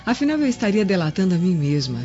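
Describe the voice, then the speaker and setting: A woman's voice: fairly low pitch, sing-song, quick pace, warm tone. An actress playing a scheming, disdainful Roman noblewoman in a theatrical radio drama. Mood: scornful. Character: haughty, scheming Roman noblewoman, jealous and self-assured. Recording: muffled lo-fi audio with music behind.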